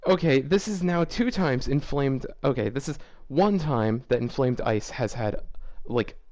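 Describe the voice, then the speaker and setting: Lively young man reading fanfic aloud. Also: mid-range voice, unhurried. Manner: laughs often, animated reactions. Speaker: fanfiction reader. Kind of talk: comedic reading aloud with commentary.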